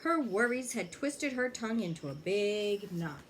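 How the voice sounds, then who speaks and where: A woman reads a children's picture book aloud at a slow, careful pace. Her pitch moves in lively swings, and she turns to young listeners with little questions. Faint noise sits behind her voice. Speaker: fire inspector reading a children's book aloud. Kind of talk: expressive children's storybook read-aloud with asides.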